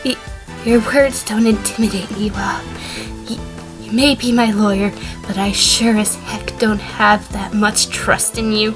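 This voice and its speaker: A woman's voice: mid-range voice, steady tone, unhurried, music in the background, natural voice. A woman playing a defiant, evasive defendant testifying in an audio drama, prickly with hesitant stammers. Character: defiant, evasive female defendant on the witness stand. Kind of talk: audio drama courtroom acting.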